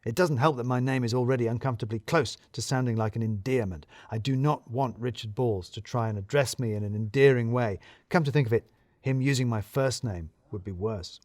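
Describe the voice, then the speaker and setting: A man reads first-person fiction as its narrator. His delivery is wry and deadpan, at an average male pitch. The recording is dry, with hardly any room sound. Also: a little fast, dry recording.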